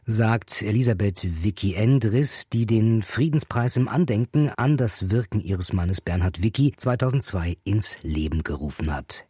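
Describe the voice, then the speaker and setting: A man presenting a culture program in a calm, formal announcing style. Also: on the low side, a little slow, hardly any pauses, husky, dry recording. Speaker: radio presenter. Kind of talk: news presentation.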